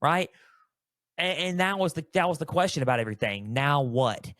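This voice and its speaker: A man's voice: on the high side, expressive, moderate pace, fairly bright tone, dry recording. Young man podcasting, dry close sound. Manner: conversational, repeats phrases for emphasis. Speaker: sports podcast host. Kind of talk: solo sports podcast monologue.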